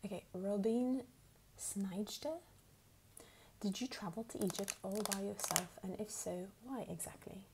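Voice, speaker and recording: A woman from the United States with a put-on English accent, speaking softly and at times whispering as she unhurriedly answers questions for an ASMR video. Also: mid-range voice, unhurried, noisy background. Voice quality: soft, partly whispered.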